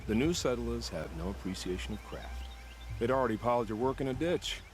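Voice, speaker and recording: An actor playing an unsettlingly calm, death-loving former soldier in a video game, musing and savoring his menace in measured phrases. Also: mid-range voice, slight background noise, close to an everyday voice. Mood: dark relish. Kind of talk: video game dramatic acting.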